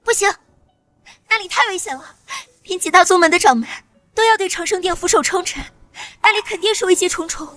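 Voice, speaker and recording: Woman as a very high-voiced anxious maiden. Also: fairly quick, fairly bright tone, no room sound. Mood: worried, pleading. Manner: pleading. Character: anxious, devoted young maiden in love. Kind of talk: animated xianxia drama dubbing.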